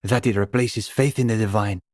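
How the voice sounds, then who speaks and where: A man's voice: lowish voice, fairly even intonation, moderate pace, a little mellow, no room sound, clean studio sound, close to an everyday voice. An actor playing an earnest, condescending young male student intellectual in a video game, speaking in an articulate, formal and wry manner. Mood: explanatory. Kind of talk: scripted video game character dialogue.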